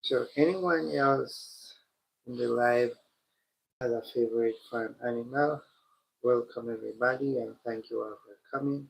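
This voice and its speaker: A man hosting a live stream, talking slowly and directly to his chat, his voice congested and stuffy from a cold. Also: mid-range voice, gravelly, a little hiss or hum. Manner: slow, repetitive, addresses the chat directly. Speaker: livestream host. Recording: dull lo-fi stream audio.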